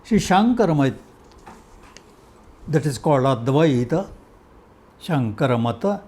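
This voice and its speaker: Man, Indian accent, slow halting read-aloud, lively rising and falling pitch, husky tone. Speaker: reader of a philosophy article. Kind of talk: read-aloud scholarly article with Sanskrit verse.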